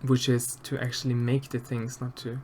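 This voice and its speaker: Soft-spoken Austrian man, hesitant chat. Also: medium pitch, completely flat delivery, moderate pace, slight background noise. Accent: Austrian German. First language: German. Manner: hesitant, many fillers and restarts, soft-spoken. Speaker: streamer answering chat while sculpting. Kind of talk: casual live-stream chat.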